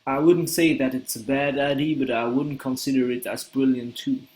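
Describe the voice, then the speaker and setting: A young man playing a male actor who answers an interview question with a relaxed, slightly boastful manner. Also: medium pitch, normal speed, natural voice, low-quality recording. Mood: offhand.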